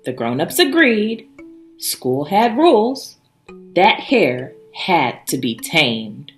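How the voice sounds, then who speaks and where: A woman reading a children's story aloud in an expressive, emphatic way, pausing for drama between short phrases. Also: on the low side. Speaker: storybook reader. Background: background music.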